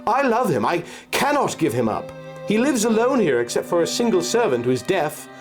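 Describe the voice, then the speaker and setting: A man's voice: sits high, sing-song, fairly quick, soft background music, pitched above the performer's own voice. A man voicing a fierce young noblewoman. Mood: devoted, anxious. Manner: intense, pleading then commanding. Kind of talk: audio story reading.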